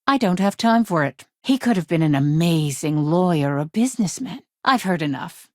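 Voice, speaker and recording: Woman playing low-voiced, blunt corporate legal chief. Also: animated, normal speed, no room sound, close to an everyday voice. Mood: cutting, impatient. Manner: direct, businesslike, dry. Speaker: head of a corporate legal department. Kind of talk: video game dialogue.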